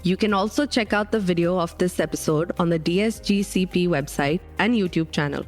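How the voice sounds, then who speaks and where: Female podcast announcer. Scripted read, lowish pitch, music bed underneath. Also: brisk. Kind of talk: scripted narration.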